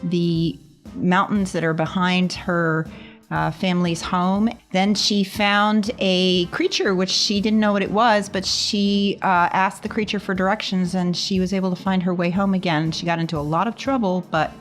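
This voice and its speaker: A woman with a fairly low-pitched voice chats as a player on a tabletop gaming podcast. There is soft music behind her. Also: steady tone, normal speed. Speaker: podcast cast member, tabletop RPG player. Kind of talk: casual chat, describing her game character.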